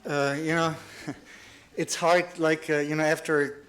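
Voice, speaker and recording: A man's voice: on the high side, little pitch movement, a little slow, fairly bright tone. A middle-aged man with a German accent giving an earnest lecture, often repeating words as he restarts his sentences. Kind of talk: conference lecture.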